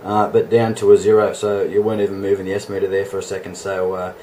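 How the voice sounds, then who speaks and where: A man's voice: sits low, level tone, quick pace, darkish tone, a little hiss or hum. An Australian man chatting casually as an amateur radio operator, with a matey, laid-back way of talking. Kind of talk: casual two-way radio chat.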